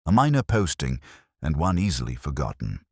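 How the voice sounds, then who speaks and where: A man reading as a deep-voiced, commanding detective. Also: big pitch swings, normal speed, a little mellow, husky, no room sound, clean studio sound, full character voice. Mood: brooding, deliberate. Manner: precise, declarative, formal. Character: keen, commanding male detective, precise and theatrical.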